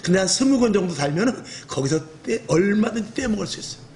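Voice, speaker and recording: High-pitched rough-voiced male pastor preaching. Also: fast talker, a little hiss or hum. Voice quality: rough, earthy voice. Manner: lively, acts out quoted speech, self-mocking humor. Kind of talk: evening church sermon.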